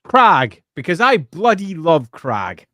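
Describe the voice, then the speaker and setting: Lively, animated man, playful and theatrical. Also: a little high, takes it slowly, dry recording, studio quality. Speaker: co-host. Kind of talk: casual live-stream chat.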